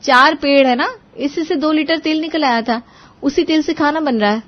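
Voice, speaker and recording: Female narrator as sharp-tongued young bahu: high voice, sarcastic, scheming, emphatic, slightly dull cartoon-story audio. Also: moderate pace, lightly acted. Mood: sly, coaxing. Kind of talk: animated Hindi moral story dialogue.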